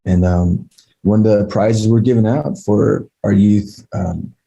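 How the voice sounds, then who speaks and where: An American man with a low, very mellow and husky voice gives unscripted remarks. He sounds earnest and conversational, feeling his way through phrases haltingly. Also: fairly even intonation, highs cut off. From Utah, Navajo Nation. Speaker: co-founder speaking at a ceremony.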